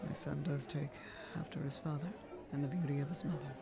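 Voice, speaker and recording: A woman playing a dignified, protective queen mother in an audio roleplay, with a measured, formal, regal delivery. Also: low voice, steady tone, a crowd in the background. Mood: proud, reserved.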